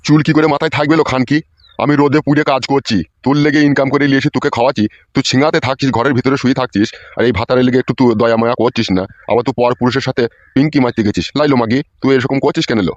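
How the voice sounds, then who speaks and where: A man's voice: mid-range voice, fairly even intonation, rapid-fire, no echo, muffled recording, lightly acted. A man voicing a suspicious, hot-tempered old village husband in a comedy cartoon, ranting coarsely. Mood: aggrieved, bitter.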